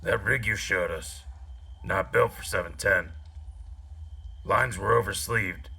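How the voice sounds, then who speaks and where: Casting: cynical war veteran, deep, gravelly, terse sci-fi audio drama delivery. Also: male voice, takes it slowly, bright, forceful tone, full character voice. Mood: grim. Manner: terse, brooding. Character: guarded, battle-worn male soldier, cynical and terse.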